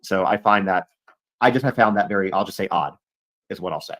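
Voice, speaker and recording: Male livestream host, lowish voice, careful and hedging interviewer. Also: fairly quick, a bit bright. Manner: hedging, careful wording, many restarts. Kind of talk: interviewing a guest.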